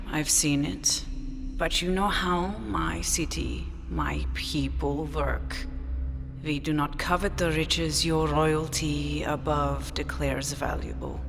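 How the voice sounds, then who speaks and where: A woman's voice: deep voice, exaggerated voice. A woman playing a regal, imperious queen of a desert city in a theatrical, grandiose audio drama. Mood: seductive, wistful. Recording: long reverb, music behind.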